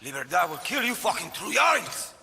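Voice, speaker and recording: Gravelly, strained rebel. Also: male voice, high voice, sing-song, moderate pace, background noise, heavily acted. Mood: furious. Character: defiant captured male guerrilla rebel. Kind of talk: intense video game cutscene acting.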